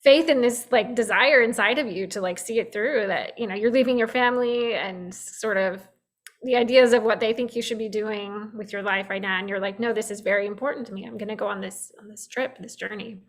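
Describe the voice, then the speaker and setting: A woman moderating a film festival Q&A, friendly and filling pauses with ums as she asks her questions. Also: medium pitch. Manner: friendly, with frequent fillers. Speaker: festival Q&A host.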